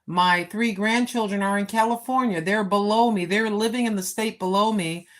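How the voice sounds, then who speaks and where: A woman's voice: medium pitch, moderate pace. Woman in her sixties, live-stream host, rambling, chatty, lots of fillers, talking to viewers from home. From United States. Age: 60s. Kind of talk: casual live-stream chat. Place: home, seated. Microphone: phone.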